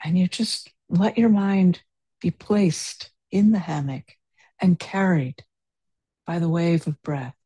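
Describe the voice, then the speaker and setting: Woman doing a guided meditation, super slow and soft, a bit husky. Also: fairly low pitch, dry recording. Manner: slow, soft-spoken and unhurried, with long pauses. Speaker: meditation teacher.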